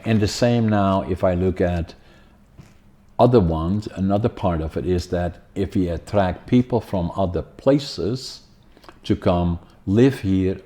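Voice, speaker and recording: An older podcast host with a Dutch accent, English learned later in life. His voice sits very low and dark. He talks in a loose, rambling way, full of "uh" and false starts. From Netherlands. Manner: rambling, many fillers and restarts. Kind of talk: casual interview talk.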